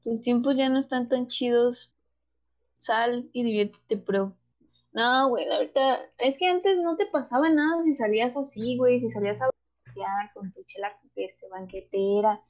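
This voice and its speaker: A young woman with a northern Mexican accent talks on a stream in a candid, slangy, laid-back way. Her voice sits a little high, and the audio sounds slightly dull. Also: normal speed. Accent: northern Mexican Spanish. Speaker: podcast co-host. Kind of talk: casual chat.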